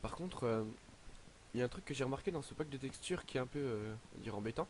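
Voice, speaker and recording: A man's voice: mid-range voice, animated, quick pace, darkish tone, a little hiss or hum. Hesitant teenage boy, slightly stuffy. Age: teens. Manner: hesitant, lots of "donc, euh" fillers. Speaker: gaming YouTuber. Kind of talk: casual game commentary. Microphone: headset.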